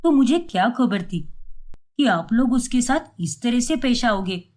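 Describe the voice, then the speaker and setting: A woman voicing a gentle young husband in an animated family story, reassuring, apologetic and reasoning. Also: fairly high pitch, a little slow. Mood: defensive. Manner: soothing, reasoning.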